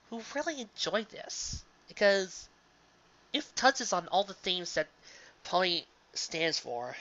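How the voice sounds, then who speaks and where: Slow, bright-voiced live reader, halting and emphatic. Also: mid-range voice. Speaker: live reader of fan fiction. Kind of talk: earnest spoken commentary to an audience. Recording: dull lo-fi home recording.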